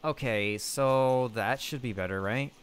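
A man voicing his character in a survival game roleplay, excitably thinking aloud to himself. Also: mid-range voice, measured pace, clear, resonant tone.

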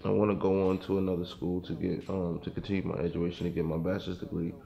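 Low gravelly young man, hesitant. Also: flat delivery, fast talker, mellow. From Brooklyn, New York. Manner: hesitant, many fillers. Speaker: student. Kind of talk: interview. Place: dark studio, indoors.

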